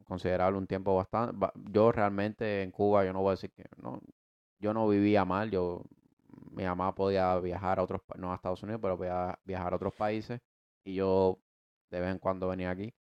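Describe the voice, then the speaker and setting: Deep-voiced young man with Cuban Spanish accent. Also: a little fast, smooth, highs cut off. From United States. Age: 20s. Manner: rambling, many restarts and repeated words; slangy and relaxed. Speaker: podcast co-host. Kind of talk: casual conversation about his life.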